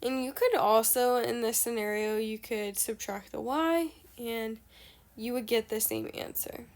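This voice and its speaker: A woman's voice: a little high, measured pace. A young woman math teacher with a nasal, stuffy voice, patiently explaining a lesson step by step. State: nasal from allergies.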